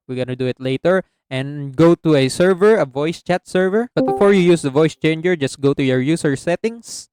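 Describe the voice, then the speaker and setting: A man hosting a casual software tutorial as a voice-over. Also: on the high side, clear, resonant tone, dry recording. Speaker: tutorial host. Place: close, dry indoor voice-over recording.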